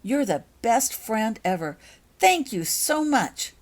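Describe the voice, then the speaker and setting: A woman voicing an excitable, impatient, gushing young schoolgirl witch in a storybook reading, eager and emphatic. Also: on the high side, slow, voice pushed up. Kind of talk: audiobook character voice.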